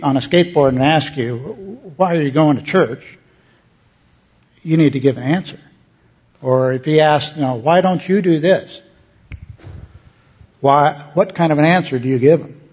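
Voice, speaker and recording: Slightly husky male panel host. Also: mid-range voice, a little mellow. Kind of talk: informal live moderating. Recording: slightly dull, muffled live recording.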